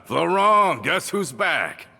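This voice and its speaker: An actor playing a hearty, chatty former convict and gang member in a video game, with a boisterous, informal manner. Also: male voice, fairly high pitch, big pitch swings, takes it slowly, vocal fry, bright, forceful tone, slight background noise, exaggerated voice. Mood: jovial, boastful. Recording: music under the voice. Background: background music.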